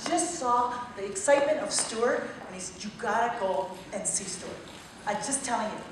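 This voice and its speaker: Excited woman from Maui, echoey hall. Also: a little high, normal speed. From Maui, Hawaii. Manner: energetic, cheering the crowd. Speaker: speaker at a business event. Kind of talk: motivational testimonial speech. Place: large event room. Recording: echoey room from far off, light noise.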